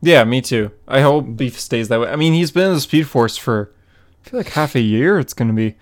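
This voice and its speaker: A man's voice: mid-range voice, expressive, fairly quick. A man co-hosting a podcast, talking about comics in a casual, laid-back and informal way. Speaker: podcast co-host. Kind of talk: casual comics discussion chat.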